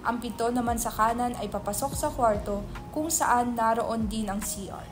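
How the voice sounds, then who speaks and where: A woman with a fairly high voice narrates a play from a script, reading out the stage directions. Music is clearly heard behind her. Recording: clear background music under voice.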